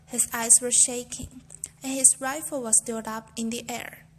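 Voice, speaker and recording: Young woman student reciting a speech, bit high pitched, faint hiss behind. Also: flat delivery, unhurried, rough recording. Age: young adult.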